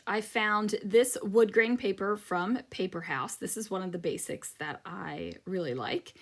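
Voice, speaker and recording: Crafty lady chatting away, bit high, voice kinda worn out, lots of ums. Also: sharp tone. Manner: chatty, rambling, many ums and asides. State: voice slightly worn from talking. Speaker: scrapbooking channel host. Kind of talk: casual crafting chat over a process video.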